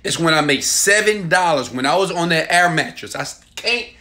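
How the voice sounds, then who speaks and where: A man in his thirties, filmed on a phone held at arm's length in a small room at home, giving a hyped and preachy sales pitch with loud bursts and quieter asides. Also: lively intonation, normal speed. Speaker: online marketing coach. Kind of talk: selfie video sales pitch.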